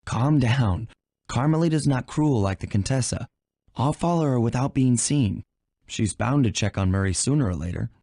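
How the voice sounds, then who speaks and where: A cool young American thief hero in a cartoonish game. He is casual and sure of himself, low-key, with a medium-low voice and dry sound. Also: animated, moderate pace, dark tone, dry recording. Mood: reassuring. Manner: smooth, unflustered, casual. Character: cool, confident young male raccoon thief.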